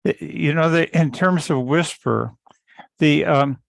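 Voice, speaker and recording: A man giving a casual technical explanation in an online meeting, halting and often repeating the starts of words. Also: a little high, creaky, dry recording. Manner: halting, stammering repetitions. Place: online teleconference call.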